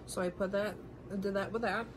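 A woman's voice: medium pitch, fairly even intonation, fairly quick, a little hiss or hum. A woman in her thirties chatting through a makeup tutorial in her bedroom, slightly nasal from congestion, rambling with many ums and apologetic asides, filmed on a close phone camera. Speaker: beauty vlogger. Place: bedroom at home, indoors. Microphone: phone camera held close.

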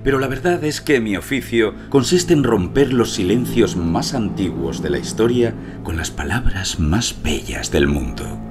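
An actor playing an eloquent, romantic archaeologist who narrates his own story in a poetic, measured way. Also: on the low side, very animated, over music. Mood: reflective. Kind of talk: dramatic first-person scripted narration.

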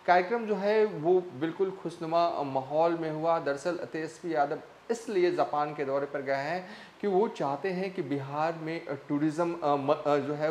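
A young man presenting a news report to camera with a lapel mic in a small studio, pausing often on "uh" fillers. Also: a little high, darkish tone, slight background noise. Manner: halting, many "uh" fillers. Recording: roomy echo.

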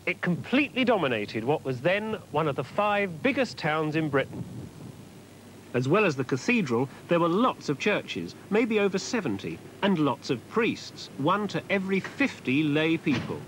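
Young male presenter, animated delivery, backing music, slightly higher voice. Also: normal speed, a little hiss or hum, rough recording. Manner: clear, explanatory. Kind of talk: scripted documentary narration. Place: outdoors by a cathedral. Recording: narration over music.

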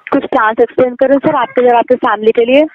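A woman's voice: fairly high pitch, fairly even intonation, fast. A woman with an Indian accent speaking deferentially as an insurance telemarketer on a phone call. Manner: deferential, brief replies. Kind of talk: polite sales phone call. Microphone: telephone. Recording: noisy narrowband phone call recording.

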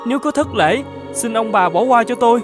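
An actor voicing a naive, scrappy young vagrant lad in a folk-tale cartoon, guileless and prone to exclaim. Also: male voice, very high-pitched, lively intonation, a little fast, music in the background. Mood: apologetic.

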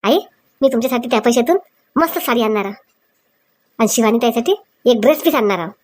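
A woman's voice: a little high, fast, darkish tone, natural voice. Woman voicing an eager young village wife. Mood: pleased, eager. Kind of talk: comic cartoon dialogue.

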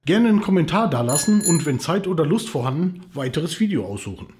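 A man's voice: on the high side, very animated, a little fast, a little mellow, a little hiss or hum. A man in his forties hosting a travel channel, presenting scripted narration to camera indoors. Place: indoors, living room. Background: background music.

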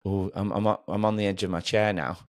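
A male podcast host in his forties with a very low voice. He talks conversationally and affirms his guest as he goes. The sound is dry and close, as from a small home studio. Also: fairly even intonation, brisk, no echo. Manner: encouraging, affirming. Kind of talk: warm conversational interview.

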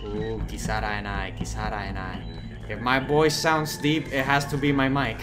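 Guy gaming w friends, teasy and jokey, kinda deep sounding mic, game music going. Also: little pitch movement, normal speed. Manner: playful, teasing, laughs often. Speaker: gamer host. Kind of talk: casual gaming chat.

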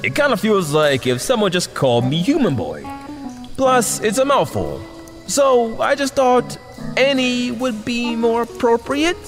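A man's voice: very high voice, very animated, unhurried, in a large hall, exaggerated voice. A man playing an earnest, flustered young masked hero with a hesitant, exasperated manner in a comic audio drama. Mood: awkward, gentle. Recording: music behind.

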